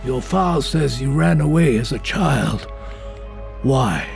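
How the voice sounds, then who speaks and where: An actor playing a tenacious older police investigator in an audio drama, dry, blunt and probing, with a very gravelly voice. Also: male voice, medium pitch, lively intonation, slow. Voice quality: gravelly, creaky. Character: tenacious, gruff old male police investigator. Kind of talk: scripted audio drama acting. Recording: voice over prominent music bed. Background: dramatic music.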